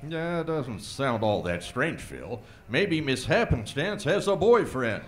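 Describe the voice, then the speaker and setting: A man's voice: mid-range voice, sing-song, slow pace. A man voicing a folksy, teasing small-town sheriff who flirts.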